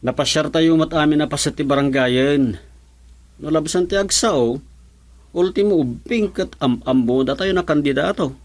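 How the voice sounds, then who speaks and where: Man acting chummy politician, Filipino accent, higher voice. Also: moderate pace, lightly acted. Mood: encouraging. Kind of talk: Ilocano radio comedy drama acting.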